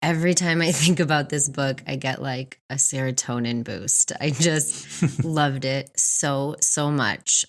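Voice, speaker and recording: Female podcast co-host, notably low voice, keen and informal book talk. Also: unhurried, few pauses. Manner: enthusiastic, informal. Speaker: podcast co-host discussing books. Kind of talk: casual book review chat.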